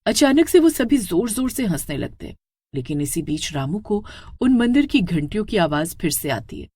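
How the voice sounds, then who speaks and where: A woman tells a scripted horror tale as its narrator. Her voice sits a little low and swings widely in pitch, with dramatic suspense. The sound is dry and close. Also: big pitch swings, moderate pace, mellow, breathy edge, no echo.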